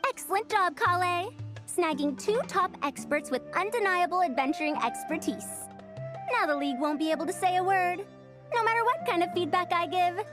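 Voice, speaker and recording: A woman's voice: very high-pitched, normal speed. A woman voicing a proud, fussy, youthful-looking senior scholar who lectures emphatically in an anime-style video game. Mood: pleased, proud. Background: background music.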